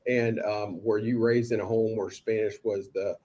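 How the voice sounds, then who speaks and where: A man questioning a witness as prosecutor in court, measured and halting with frequent ums. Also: lowish voice, fairly even intonation, warm tone, slightly rough. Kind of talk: courtroom direct examination.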